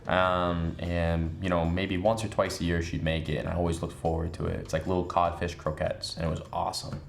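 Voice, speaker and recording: A young man from southeastern Massachusetts, a guest chatting casually in a studio about food and snacks, relaxed and chatty with fillers. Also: low voice, a little fast, gravelly, echoey room, slight background noise. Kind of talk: casual chat, snack tasting.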